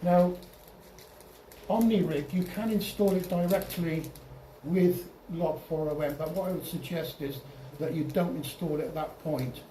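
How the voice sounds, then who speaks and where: Man, informal tutorial presenter, halting restarts, roomy echo, distant mic with faint noise. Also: a little high, warm tone. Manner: halting, repeats and restarts phrases. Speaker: presenter at an amateur radio club. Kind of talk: informal software tutorial over slides.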